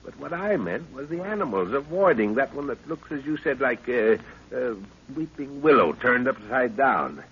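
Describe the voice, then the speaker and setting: A man's voice: medium pitch, very animated, moderate pace, a little hiss or hum. An actor playing a middle-aged explorer father, curious, authoritative and given to explaining, in a 1930s radio serial. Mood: intrigued. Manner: explanatory, exclaiming, commanding. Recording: dull 1930s radio recording.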